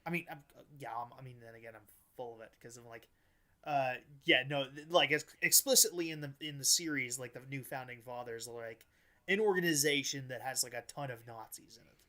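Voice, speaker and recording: A man's voice: mid-range voice, fairly quick, bright, forceful tone. A man co-hosting a podcast, giving casual analysis in a choppy, hesitant way with many restarted sentences. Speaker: podcast co-host.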